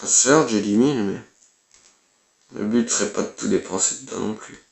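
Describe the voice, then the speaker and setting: A man's voice: lowish voice, moderate pace, gravelly. A man, who has a cold, giving casual, blunt let's play commentary as a gaming YouTuber and swearing offhandedly.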